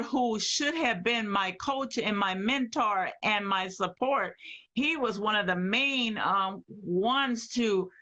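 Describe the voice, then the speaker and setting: Bright, slow-talking woman guest. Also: medium pitch, dull audio. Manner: earnest, with hesitations and fillers. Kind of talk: casual interview chat.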